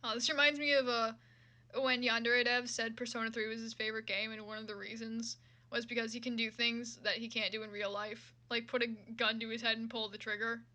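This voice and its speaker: A woman's voice: a little high, little pitch movement, brisk, pushed and bright. A woman reading fan fiction aloud with casual, irreverent and sometimes profane commentary.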